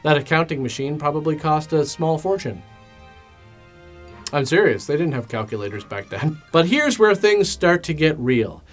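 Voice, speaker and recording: A man with a slightly high voice narrates a comedic movie recap over music. His delivery is sarcastic and mock-dramatic, with casual crudeness thrown in. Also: expressive, normal speed. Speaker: host of a film commentary show.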